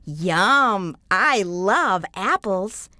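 A woman's voice: mid-range voice, animated, very slow, slight background noise, heavily acted. A woman voicing an eager, cheerful little boy who wants to help. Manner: bright and eager.

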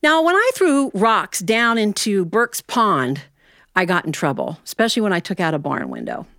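An American woman telling a humorous personal tale as a storyteller, in a folksy, animated manner with dramatic pauses. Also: mid-range voice, no echo, studio quality. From Ohio, United States.

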